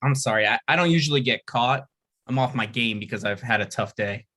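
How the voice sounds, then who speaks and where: A man's voice: mid-range voice, fairly quick, pushed and bright. A man reads a script at a table read. He sounds hesitant and self-deprecating, stumbling and restarting his sentences.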